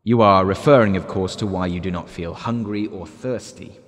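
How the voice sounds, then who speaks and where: An actor playing a recorded guiding Voice in a stage play, measured and instructive, cryptic and insistent. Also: male voice, low-pitched, close to an everyday voice. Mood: cryptic, persuasive. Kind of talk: one-man stage play dialogue. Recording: echoey room, music behind the voice.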